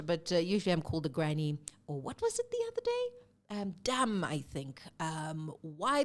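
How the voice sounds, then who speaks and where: A woman from South Africa hosting a live sports talk show, chatty and lively, a bit congested from a cold. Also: lowish voice. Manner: chatty and lively, with fillers and self-corrections.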